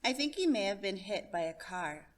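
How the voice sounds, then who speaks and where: A woman voicing a calm, practical grandmother in a storybook, with a gentle, measured manner. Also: mid-range voice, close to an everyday voice. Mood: apologetic.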